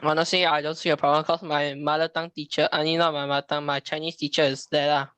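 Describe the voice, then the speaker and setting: A man's voice: fairly high pitch, level tone, fast talker, bright, forceful tone, smooth, dry recording. A young man with a Singaporean accent, speaking casually as a student in a class discussion.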